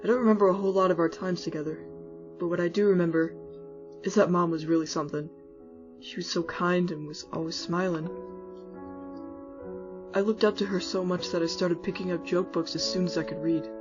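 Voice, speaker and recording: An actor plays a laid-back skeleton guy narrating a cartoon comic dub as a wistful older brother. He sounds casual and wry, with music clearly behind a slightly dull recording. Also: mid-range voice, steady tone, a little fast, natural voice. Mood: fond, nostalgic. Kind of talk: scripted first-person storytelling in a comic dub. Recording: muffled audio with music underneath.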